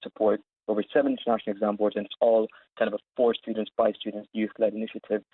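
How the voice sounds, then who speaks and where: Male interview guest, twenties, from Saudi Arabia, fast conversational delivery, dry narrow call-line sound. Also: on the low side, flat delivery, husky, dry recording. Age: 20s. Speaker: interview guest, founder of an education platform. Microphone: remote call line. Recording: narrow phone-like live line.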